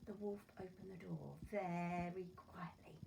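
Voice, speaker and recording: A woman reading a children's story aloud in an expressive, dramatic and deliberate narrating style. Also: fairly low pitch, gravelly. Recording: voice far from mic, noisy room.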